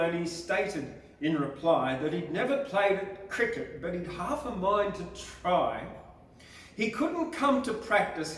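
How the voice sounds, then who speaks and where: A man reciting a bush ballad as a poetry reader, in a theatrical, deliberate storytelling style. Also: high voice. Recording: very echoey, distant microphone.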